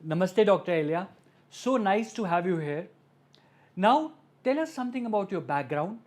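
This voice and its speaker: A man's voice: high-pitched, lively intonation, moderate pace, a little mellow. A man hosting a webinar and introducing a guest in an earnest, slightly repetitive way with frequent fillers. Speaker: webinar host.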